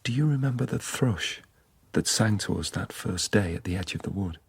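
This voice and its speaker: An actor playing an earnest, yearning, melancholy rebel in a radio drama. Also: male voice, sits low, lively intonation, normal speed, gravelly, breathy edge, full character voice. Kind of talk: British radio drama acting.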